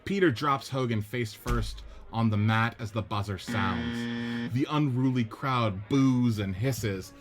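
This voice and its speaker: A man narrating the stage directions of a radio play script in a steady, descriptive way. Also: mid-range voice, slow, music in the background.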